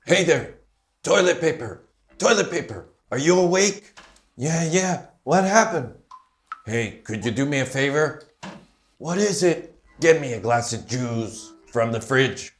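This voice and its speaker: A man's voice: mid-range voice, animated, measured pace. A man voicing grumbling, pestering bathroom-object puppets in a fan-dubbed comic dialogue.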